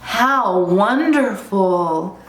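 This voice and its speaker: A woman voicing excitable, curious safari park animals exclaiming and questioning in a children's storybook reading. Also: high voice, slow pace, voice pushed up, exaggerated voice. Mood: amazed. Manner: wide-eyed, exclaiming and questioning. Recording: roomy echo, faint background noise.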